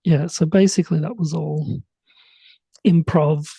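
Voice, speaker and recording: Gravelly, dark-voiced man. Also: on the high side, fairly even intonation, a little slow, dry recording. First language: English. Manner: rambling, heavy on "like" fillers. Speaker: writer-director guest. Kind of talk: casual interview chat.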